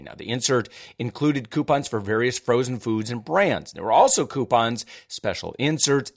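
A male talk show host with a cold, giving upbeat, energetic tips on deals and savings. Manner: upbeat, energetic pitchman delivery. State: has a cold. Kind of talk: radio-style talk show.

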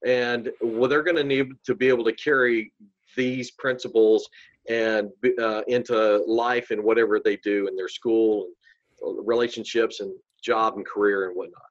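A man's voice: fairly low pitch, fairly even intonation, normal speed. Friendly male guest, dull lo-fi sound. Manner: friendly and laughing easily. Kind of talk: casual interview chat.

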